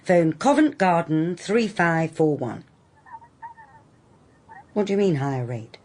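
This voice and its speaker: An English actress in a radio drama, playing a brisk, capable woman detective with a dry, wry manner. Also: fairly low pitch, very animated, darkish tone, full character voice. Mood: businesslike. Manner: brisk, dry, matter-of-fact. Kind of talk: BBC radio drama acting.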